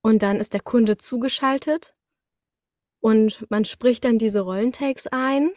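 A woman talking casually as an interview guest, telling things in an animated, conversational way. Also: a little high, a little slow, no echo, clean studio sound. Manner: animated storyteller, acts out short quotes, laughs often.

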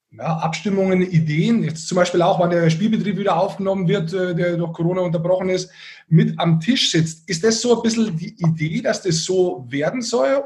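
A man with a notably high-pitched voice hosts a podcast interview. He talks conversationally and wraps his own opinions into long-winded questions. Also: darkish tone. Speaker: podcast co-host, former player.

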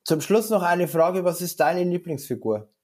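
A man's voice: sits high, expressive, moderate pace, a little mellow. A man hosting a podcast interview in a casual, chatty way with loosely phrased, hesitant questions.

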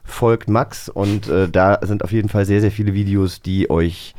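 Man, slightly low voice, podcast host, casual interviewing, dry studio-like sound. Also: steady tone, normal speed, a little mellow, no echo.

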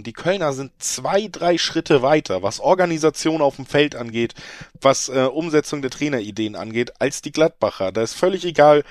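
Guy with a bit of a cold, kinda hoarse, rambling through football tips, dry close sound. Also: medium pitch, dry recording. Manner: rambling, self-correcting, emphatic. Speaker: betting podcast co-host. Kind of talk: casual football analysis chat.

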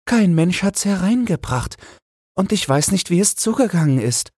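Guy doing a worried storybook wife, voice way up high, alarmed and questioning. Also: very animated, moderate pace, a little mellow, slightly rough, no room sound, clean studio sound, full character voice. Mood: puzzled. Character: anxious miller's wife, high-voiced woman.

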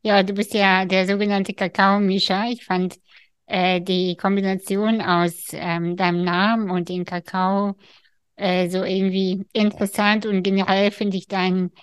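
A woman hosting a podcast, speaking softly and gently, with hesitant fillers in casual chat. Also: mid-range voice, level tone, unhurried, clean tone, dry recording, clean studio sound. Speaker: podcast host. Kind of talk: calm spoken intro and casual interview.

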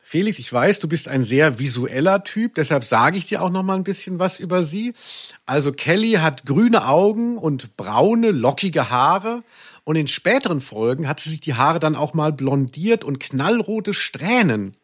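A man's voice: on the high side, expressive, normal speed. A man co-hosting a podcast, chatting casually about audio dramas in a playful, mock-serious way. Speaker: podcast co-host.

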